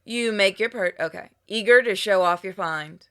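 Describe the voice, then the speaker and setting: A woman reading a story game aloud in an expressive narration, acting out exclamations and asides playfully. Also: mid-range voice, moderate pace. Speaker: gamer reading a visual novel aloud on a playthrough. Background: music with plucked guitar.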